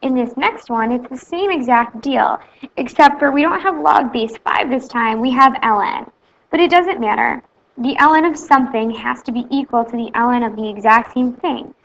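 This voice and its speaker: Creaky-voiced woman explaining math. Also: a little high, normal speed, muffled recording. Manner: plain, steady step-by-step explaining. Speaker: math teacher. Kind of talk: explaining math steps in a video lesson.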